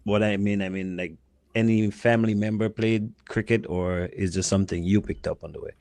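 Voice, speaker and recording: A man hosting a casual podcast interview, asking questions with quick, encouraging affirmations. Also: lowish voice, steady tone, darkish tone, no room sound. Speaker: podcast host.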